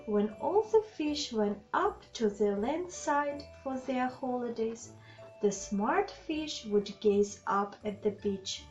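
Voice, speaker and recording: A woman reading a children's bedtime story aloud in an expressive, deliberate way, with asides to young listeners. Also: a little high. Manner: slow, deliberate, animated phrasing with questions to the child listeners. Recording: slightly dull, lo-fi sound with music.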